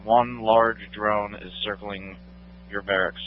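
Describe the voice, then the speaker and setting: A man's voice: lowish voice, steady tone, slow. A man working as a dispatcher, talking tersely over a police radio on lo-fi scanner audio. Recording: dull lo-fi scanner audio, humming static.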